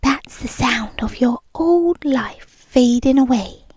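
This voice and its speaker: A woman's voice: high-pitched, lively intonation, full character voice. Woman playing a whispering possessive British girlfriend. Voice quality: whispery, soft and breathy. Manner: slow, intimate, menacingly sweet. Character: possessive obsessive rich young girlfriend, coldly doting. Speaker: ASMR roleplay performer. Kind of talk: ASMR roleplay. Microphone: close microphone.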